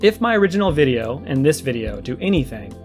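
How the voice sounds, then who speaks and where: A man's voice: mid-range voice, very animated, quick pace. A man giving conversational response commentary, arguing emphatically and repeating his points for stress. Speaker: video creator and host. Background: music with plucked guitar.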